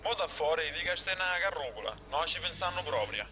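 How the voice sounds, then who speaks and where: A man's voice: a little high, lively intonation, a little fast, noisy background, natural voice. A man with an Apulian accent voicing a bossy, slangy rebel leader in a comic dialect film dub, coarse and impatient.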